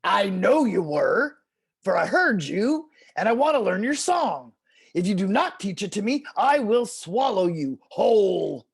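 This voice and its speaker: Storybook coyote, male, demanding and hot-tempered, emphatic delivery with big pitch swings played by a woman reader. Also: very animated, unhurried, gravelly, husky, exaggerated voice. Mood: angry, threatening. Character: pushy, short-tempered male coyote in a folktale.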